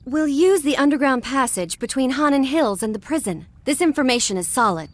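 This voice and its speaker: A woman's voice: high voice, lively intonation, fairly quick. A woman voicing a confident, sarcastic female rebel fighter in a video game, curt and sardonic. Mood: impatient, confident.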